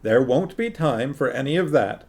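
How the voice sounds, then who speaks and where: A man's voice: medium pitch, animated, moderate pace, darkish tone, lightly acted. A man voicing a grown king who speaks in an awkward, embarrassed, reassuring way.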